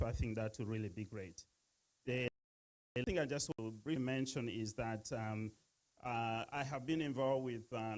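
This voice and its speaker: A man from Uganda giving a halting speech at a gala, full of fillers. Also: mid-range voice, a little mellow, rough recording. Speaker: speaker at a gala. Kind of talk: casual speech to an audience.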